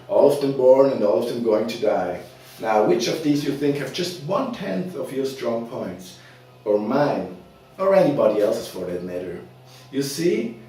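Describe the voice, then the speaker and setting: A man's voice: fairly high pitch, a little mellow, close to an everyday voice. A man performing a monologue as a chatty, self-assured young working man full of eager advice and ambition. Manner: eager, talkative, advising. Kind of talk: theatrical monologue. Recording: very echoey room, distant mic.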